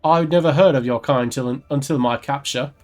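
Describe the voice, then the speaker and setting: A man's voice: mid-range voice, moderate pace, faint music behind, lightly acted. A man voicing a curious male adventurer, reading video game dialogue aloud in a conversational, questioning way. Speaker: gamer voicing his player character.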